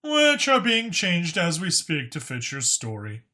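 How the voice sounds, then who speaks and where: A man voicing a composed, authoritative dragon spymaster in an audiobook, measured, formal and a little wry as he explains things. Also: fairly high pitch, wildly swooping pitch, bright, forceful tone, pitched above the performer's own voice, heavily acted. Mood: grave, deliberate. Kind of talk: audiobook character acting.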